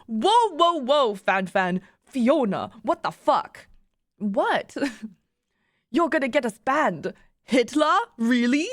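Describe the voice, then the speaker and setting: A woman voicing an excitable, indignant young female streamer who is quick to bicker, in a story. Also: a little high, no echo, clean studio sound.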